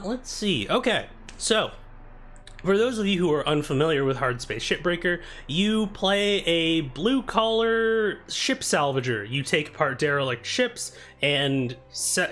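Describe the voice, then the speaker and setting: Young man, live-stream chat, soft soothing voice, slightly high, self-deprecating, faint music underneath. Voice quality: soft, told he sounds soothing. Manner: chatty and self-deprecating, greets viewers by name.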